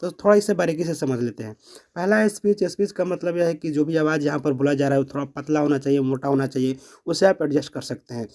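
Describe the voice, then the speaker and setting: Fast-talking man giving an app tutorial. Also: a little high, darkish tone. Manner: explanatory, repeats phrases step by step. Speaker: tutorial presenter. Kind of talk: step-by-step app tutorial voice-over.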